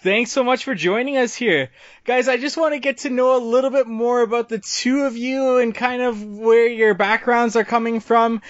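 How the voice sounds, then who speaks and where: A man's voice: very high-pitched, fairly even intonation, normal speed, no echo, highs cut off. A man hosting a travel podcast, reading a scripted intro and then asking interview questions with upbeat energy. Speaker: podcast host.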